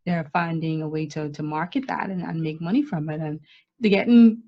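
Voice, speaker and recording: A woman's voice: deep voice, brisk. A woman co-hosting a casual podcast, talking earnestly and plainly about life at home. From Bahamas. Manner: earnest, opinionated, plain-spoken. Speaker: podcast co-host. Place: the Bahamas, remote call. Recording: dull lo-fi audio.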